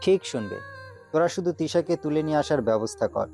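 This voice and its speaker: Male actor as young gang boss: mellow dark timbre, cruel, taunting, soft music underneath. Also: fairly high pitch, expressive, brisk, gravelly. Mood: taunting, commanding. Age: young adult. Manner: commanding, taunting. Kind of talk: Bengali audio story acting.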